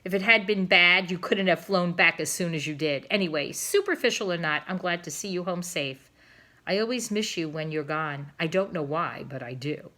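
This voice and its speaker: A woman's voice: fairly low pitch, normal speed, bright, forceful tone. A woman voicing a skeptical but fond female swan wife in a children's storybook, blunt and matter-of-fact. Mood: grudgingly fond. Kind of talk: children's storybook read-aloud.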